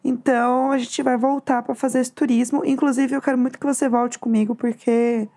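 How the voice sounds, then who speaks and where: A Brazilian woman co-hosting a casual podcast, hoarse from losing her voice, chatty and telling stories with acted-out quotes. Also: sits high, level tone, brisk, mellow. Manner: chatty, storytelling, acts out quotes. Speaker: podcast co-host. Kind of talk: casual chat.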